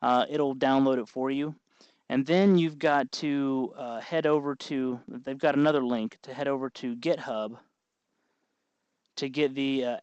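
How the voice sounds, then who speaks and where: A man hosting an informal hands-on electronics tutorial, explaining step by step in a halting, folksy way. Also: medium pitch, darkish tone, no echo, highs cut off. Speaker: tutorial host.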